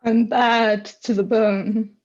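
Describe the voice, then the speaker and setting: A woman voicing a dim, comically ominous talking goat with drawn-out chanting delivery, on a dull microphone in a play reading. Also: a little high, level tone, a little hiss or hum. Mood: comically menacing. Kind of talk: amateur dramatic play reading.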